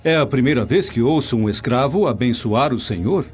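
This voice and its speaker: A man's voice: medium pitch, lively intonation, moderate pace, a little mellow, lightly acted. Man playing a lordly Roman patrician. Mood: impressed. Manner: lordly, formal. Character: authoritative Roman nobleman and father, curious, impressed. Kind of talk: radio drama acting. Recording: dull lo-fi audio with music.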